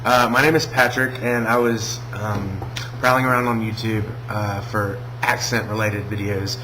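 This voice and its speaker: A man from Alabama with a Southern American accent, talking casually in a video response, hesitant with many pauses and restarts. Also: lowish voice, fairly even intonation, noisy background. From Alabama, USA. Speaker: vlogger.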